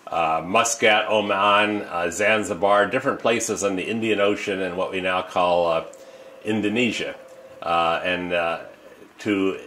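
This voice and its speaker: A man's voice: lowish voice, fairly bright tone. An older man seated at a desk in an office, telling a story to the camera in an informal, rambling way with many fillers and restarts. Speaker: coin dealer and auctioneer. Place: office with desk and leather chair, indoors. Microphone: fixed camera, seated at desk.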